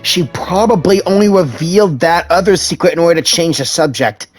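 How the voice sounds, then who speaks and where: A man streaming a video game, reading choices aloud and commenting in a playful, stumbling, excitable way. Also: a little high, brisk. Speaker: gaming streamer.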